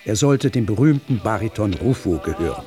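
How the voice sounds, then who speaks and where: A man's voice: fairly low pitch, animated, fairly quick, dark tone. Male radio drama narrator over music. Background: prominent music.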